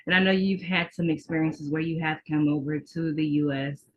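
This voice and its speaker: Female podcast host from the US, lowish voice, casual conversational questioning, slightly muffled lo-fi line. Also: fairly even intonation, a little fast, a little hiss or hum. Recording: dull, band-limited call-like audio.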